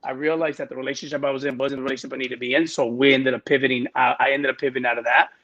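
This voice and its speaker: A middle-aged man from Miami tells his story on a podcast, his voice bright and forward. He talks casually and halts often, restarting phrases as he goes. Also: medium pitch, flat delivery, racing, muffled recording. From Miami, United States. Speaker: podcast guest.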